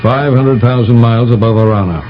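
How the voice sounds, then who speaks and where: An actor playing a sardonic, practical spaceship pilot in a radio drama. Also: male voice, medium pitch, fairly even intonation, brisk, faint music behind, close to an everyday voice. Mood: uneasy, sardonic. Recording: dull old radio broadcast.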